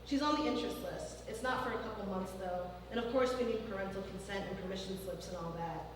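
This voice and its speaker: A man's voice: high voice, fast talker, noisy background, natural voice. A woman reading the lines of a laid-back, blunt male teacher, in an offhand, dry way, heard from a distant mic in a reverberant hall.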